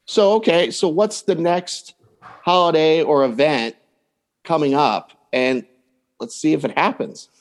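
A man's voice: fairly high pitch, a little slow. A man giving conversational advice as a coach and podcast guest, often voicing hypothetical remarks as he explains.

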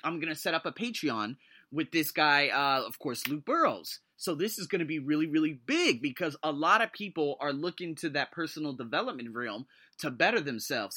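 A man in his thirties hosting a solo motivational podcast, talking in an excitable, emphatic way with his voice leaping up when he gets thrilled. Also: on the high side. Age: 30s. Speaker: podcast host.

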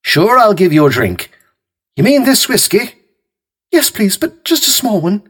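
A soft, high, pleading young housewife, put on by a husky-voiced man reading a mystery story. She coaxes and begs with a gentle sweetness that hides her cunning, her voice lifting and dipping with lively swings. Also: measured pace, exaggerated voice. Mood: sweetly pleading. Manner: coaxing, pleading, falsely gentle.

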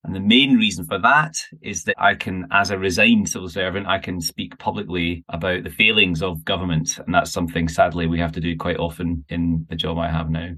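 A man's voice: sits low, fairly even intonation, a little fast, hardly any pauses, pushed and bright. A middle-aged man from Glasgow with a Glaswegian accent, a guest answering interview questions in a chatty, self-deprecating way. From Scotland.

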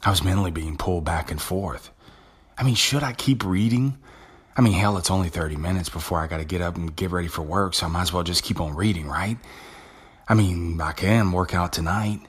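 Husky low-voiced man, casual podcast host. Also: fairly quick. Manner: casual, conversational, with frequent restarts. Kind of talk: motivational monologue.

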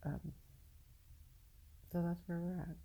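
A woman podcaster speaking off the cuff in a halting, confessional way, candid and casually profane, with a low husky voice and a downcast, weary air. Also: flat delivery, warm tone. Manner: halting, searching, with long pauses. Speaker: podcast host. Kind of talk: unscripted personal monologue, confessional.

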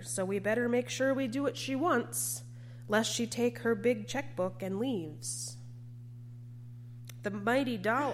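Woman, fairly high voice, preacher, scripted sermon, earnest and measured, faint noise and hum.

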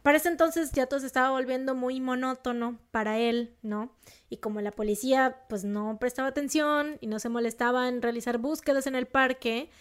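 Young woman, northern Mexican accent, high voice, slangy, emphatic storytelling. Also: brisk, smooth. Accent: Mexican, northern Monterrey. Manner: slangy, emphatic, animated outbursts. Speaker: podcast co-host telling a true crime story. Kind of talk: casual storytelling chat.

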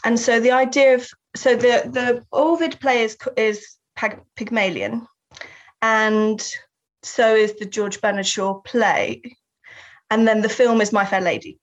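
A woman's voice: a little high, steady tone, a little slow. A woman with a Southern English accent, talking thoughtfully as an interview guest, often laughing and restarting her sentences. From England. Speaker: guest artist and writer. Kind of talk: reflective interview talk. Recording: slightly dull lo-fi sound.

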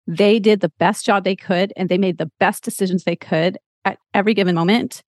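A woman's voice: fairly low pitch, no echo, studio quality. A woman in her forties from Texas, a lactation counselor who talks openly and earnestly about her own path as a podcast guest. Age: 40s. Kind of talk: podcast interview, personal storytelling.

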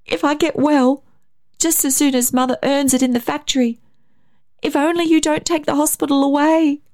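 A woman's voice: on the high side, normal speed, a little mellow, pitched above the performer's own voice, natural voice. A woman voicing an earnest, pleading little blind boy in a narrated story, with a childlike manner. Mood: anxious pleading. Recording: roomy echo, faint music.

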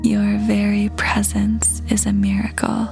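Very slow, soft-voiced woman guiding meditation. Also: medium pitch. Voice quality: soft, breathy, half-whispered. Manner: gentle, calm, unhurried with long pauses. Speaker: meditation guide. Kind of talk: guided meditation, slow soothing narration. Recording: voice over prominent background music. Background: meditation music.

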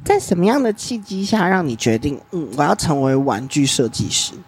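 A man's voice: on the high side, very animated, brisk, breathy edge. A man hosting an interview podcast, chatting casually and giving long, talkative explanations. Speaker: podcast host.

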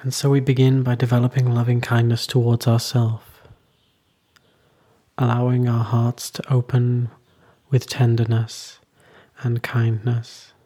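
A man leading a guided meditation as a teacher, soothing and unhurried, with long pauses between short phrases. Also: medium pitch, monotone, dark tone.

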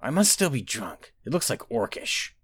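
A man's voice: medium pitch, sing-song, normal speed, fairly bright tone, breathy edge, dry recording, pitched above the performer's own voice. A man voicing a sardonic, hungover middle-aged prince with a drawling delivery. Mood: irritable.

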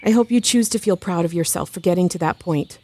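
An actress playing a calm, supportive counselor friend in an audio drama, coaxing in a measured, soothing manner. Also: female voice, lowish voice, close to an everyday voice. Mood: warm, encouraging. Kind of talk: fiction podcast audio drama acting.

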